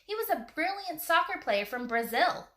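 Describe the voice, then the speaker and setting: A woman voicing an eager, excitable young boy adventurer in a children's storybook, full of questions and exclamations. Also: sits high, moderate pace, a little hiss or hum, pitched above the performer's own voice. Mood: amazed, eager.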